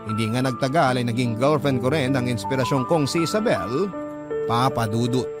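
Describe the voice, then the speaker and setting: A male actor playing a young man confiding his love story in scripted first-person radio drama narration, reflective and measured. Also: medium pitch, lightly acted. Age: young adult. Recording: clear music bed under narration.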